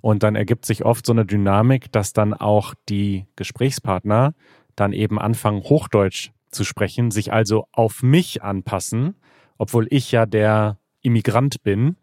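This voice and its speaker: A man's voice: lowish voice, a little slow, darkish tone, no echo, studio quality. A man from Germany with a standard German accent, hosting a podcast in a casual, conversational interview style. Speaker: podcast host.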